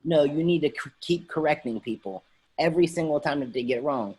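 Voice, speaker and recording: A man's voice: fairly high pitch, brisk, darkish tone, breathy edge. A man speaking as a panelist, casual and slangy, animatedly retelling everyday encounters over a video call.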